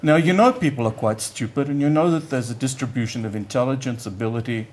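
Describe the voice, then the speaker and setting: Older male economist, Yiddish first language, mid-pitched, halting conversational conference lecture. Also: a little mellow. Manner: halting, searches for words, repeats "I, I". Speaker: economics professor giving a lecture.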